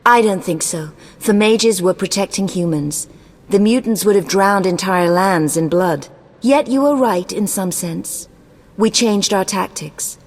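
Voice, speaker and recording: A woman's voice: mid-range voice, unhurried. A woman playing an imperious, ruthless sorceress in a video game, haughty, formal and commanding. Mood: clinical, detached. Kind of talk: scripted video game dialogue. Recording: long cavernous reverb.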